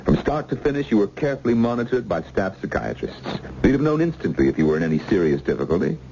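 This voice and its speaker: An actor playing an assured male official in a radio drama, speaking in a gravelly, authoritative and emphatic way. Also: fairly low pitch, very animated, fast talker. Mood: firm, reassuring. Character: assured male official running a secret endurance experiment. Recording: muffled, faintly noisy old radio recording.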